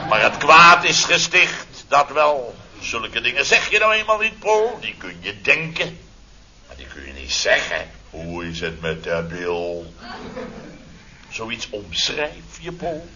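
An actor playing a blustering, pompous company boss in a radio comedy, speaking theatrically and indignantly with drawn-out emphasis. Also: male voice, fairly low pitch, big pitch swings, bright, forceful tone, heavily acted. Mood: pompous reproach. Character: blustering, pompous male boss of a building firm. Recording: dull old radio recording, faint noise.

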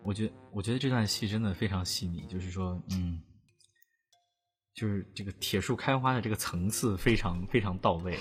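A man's voice: low-pitched, brisk, soft background music. A man, a voice actor guest, giving his thoughts in a casual chat.

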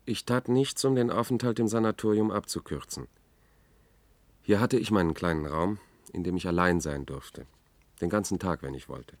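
An actor playing a haunted reporter whom nobody believes, narrating in a German science-fiction radio drama with brooding, measured intensity. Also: male voice, low-pitched, no room sound, lightly acted. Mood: withdrawn. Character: haunted male reporter, disbelieved, obsessive and increasingly unravelling.

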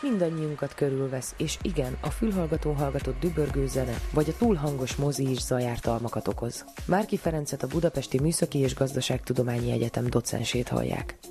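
A man's voice: mid-range voice, few pauses, music in the background. A man hosting a science programme, reading scripted narration and putting questions to a guest. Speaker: radio science programme host.

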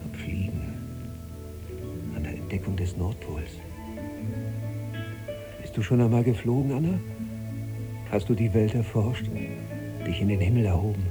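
An actor playing a frustrated, sarcastic archivist husband in a German radio play, sardonic and brooding. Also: male voice, fairly low pitch, a little slow, long pauses, over loud music, close to an everyday voice. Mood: bitter.

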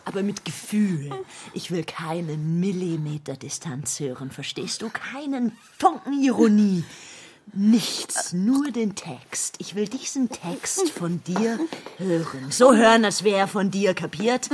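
A woman's voice: medium pitch, unhurried, a little mellow, slight background noise, natural voice. An actress in a radio play voicing an enraged, desperate woman who threatens and commands with biting sarcasm. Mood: frantic, demanding. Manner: menacing, sarcastic, commanding, unhinged. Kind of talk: radio drama acting.

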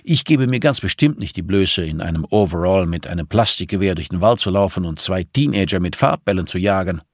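A man's voice: low voice, animated, fairly quick, no room sound, clean studio sound. A man voicing an arrogant, irritable, sardonic investigator with a clipped, condescending manner in an audiobook. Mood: disdainful.

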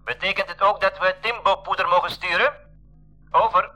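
Casting: headquarters radio operator in a radio play, high, piercingly bright voice, formal and brisk. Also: male voice, steady tone, soft background music. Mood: formal, obliging. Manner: formal radio procedure speech. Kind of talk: 1980s school radio drama acting.

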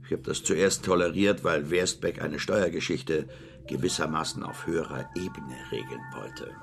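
Middle-aged businessman, radio drama, very deep, creaky, manipulative, condescending, faint noise behind. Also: over music. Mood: calculating. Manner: measured, insinuating, condescending. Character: powerful, cynical, manipulative businessman Max, cool and condescending. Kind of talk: German radio drama acting.

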